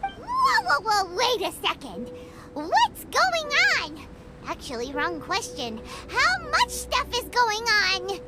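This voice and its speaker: A woman voicing a small, childlike fairy companion in an anime game, squeaky, excitable and blunt. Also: slow, very noisy, heavily acted. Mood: indignant. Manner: exclamatory, indignant chattering.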